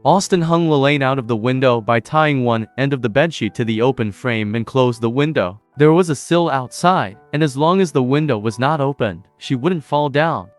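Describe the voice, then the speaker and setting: A man reading scripted narration for an animated story in a steady, even storytelling manner. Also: mid-range voice, normal speed, few pauses, dry recording. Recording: dry voice-over with faint music.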